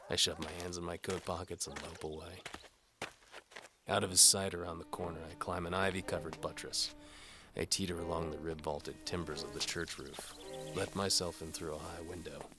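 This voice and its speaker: A man narrating an audio drama in the first person as a world-weary mercenary detective, with a wry, deadpan, gravelly delivery. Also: extremely low pitch. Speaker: narrator and lead actor. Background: music.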